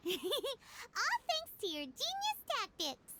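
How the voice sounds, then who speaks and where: A woman voicing a tiny, childlike, excitable fairy companion in a video game, squeaky and exclamatory. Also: very slow, noisy background, heavily acted. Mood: playful. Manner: exclamatory, expressive. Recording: loud music behind the voice.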